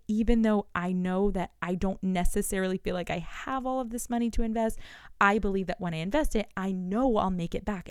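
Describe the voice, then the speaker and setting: A woman in her thirties, a business coach, talking straight to her listeners in a solo podcast and checking in with quick rhetorical questions. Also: medium pitch, clean tone, dry recording, studio quality. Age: 30s. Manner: animated, talks to listener directly with rhetorical questions.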